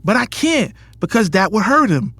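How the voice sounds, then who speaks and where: A man's voice: high voice, sing-song, moderate pace, bright, forceful tone, breathy edge, dry recording, full character voice. A man playing a guarded, lovesick young businessman in an audio drama, earnest and emotionally intense. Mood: pained, passionate. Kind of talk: audio drama acting with first-person narration. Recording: studio voice over music bed. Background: music.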